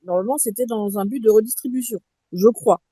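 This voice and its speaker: A woman's voice: a little high, brisk, warm tone, breathy edge, no room sound. A woman taking part in a casual live audio discussion, speaking hesitantly with many fillers and a wry tone. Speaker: participant.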